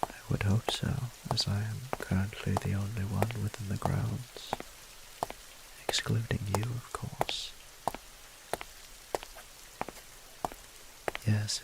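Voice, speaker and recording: A man playing a gentle, teasing vampire priest in an intimate audio roleplay, speaking softly and breathily to the listener in courteous, old-fashioned phrasing. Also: lowish voice. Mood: wistful, courteous. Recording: clear hiss and rain sound behind.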